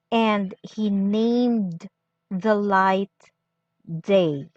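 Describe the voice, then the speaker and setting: Woman doing a solemn bible reading, super slow, short phrases, kinda muffled lo-fi mic, hardly any room echo. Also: medium pitch. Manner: deliberate, broken into short phrases with pauses. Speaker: scripture reader.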